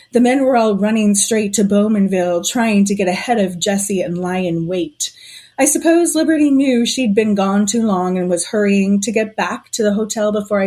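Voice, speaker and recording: A woman playing a shrewd, suspicious conspirator in a period audio drama, telling things in a knowing, deliberate way. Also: medium pitch, a little mellow, close to an everyday voice.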